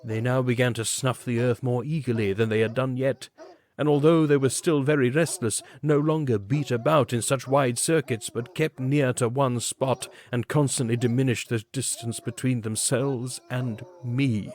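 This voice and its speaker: A man's voice: mid-range voice, fairly even intonation, moderate pace, darkish tone. A man giving a grave, theatrical reading of a literary story as an audiobook narrator. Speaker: audiobook reader. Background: background music.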